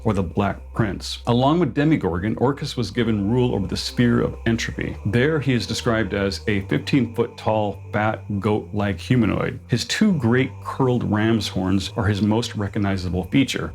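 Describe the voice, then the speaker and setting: A man hosting and narrating a scripted lore explainer for tabletop gamers, measured and explanatory in delivery. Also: on the low side, darkish tone. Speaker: host, narrator.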